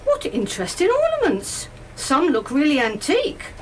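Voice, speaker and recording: A woman's voice: high-pitched, wildly swooping pitch, normal speed, a little mellow, slight background noise, poor audio. A woman acting a polite, questioning, faintly sceptical lady train passenger in an amateur radio play.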